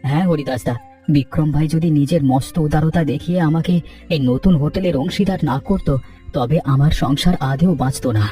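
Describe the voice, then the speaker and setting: A man's voice: a little high, normal speed, dark tone, natural voice. A man voicing a humble, earnest and grateful poor rickshaw puller in a cartoon. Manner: earnest, deferential. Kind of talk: dubbed cartoon dialogue. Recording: distant-sounding, music underneath.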